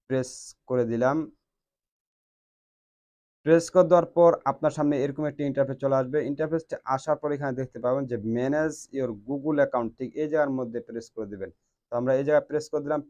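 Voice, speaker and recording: A man's voice: mid-range voice, darkish tone, dull audio. A man hosting a step-by-step phone tutorial in a repetitive instructional way. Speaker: tech tutorial host.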